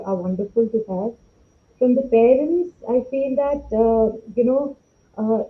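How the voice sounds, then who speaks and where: A woman's voice: a little high, narrow, tinny audio. A woman speaking as an educator in a podcast discussion, reflective and earnest, over thin call audio. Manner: passionate, reflective. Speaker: educator, panelist.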